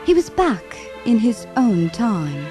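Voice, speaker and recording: A woman narrating a ghostly radio drama in a solemn, measured, dramatic storytelling style. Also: fairly high pitch, sing-song, dark tone. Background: music.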